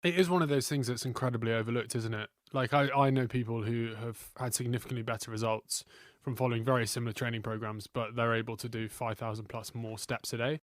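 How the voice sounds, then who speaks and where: A man's voice: mid-range voice, steady tone, fast talker, no echo, studio quality. A man who works as a personal trainer, co-hosting a casual podcast, chatty and teasing with frequent tag questions.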